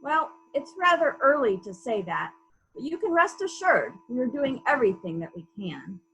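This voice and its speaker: A woman's voice: high-pitched, sing-song, moderate pace, creaky, fairly bright tone, lo-fi sound, full character voice. A woman playing a polite, probing police inspector in a murder mystery reading, asking formal, clipped questions.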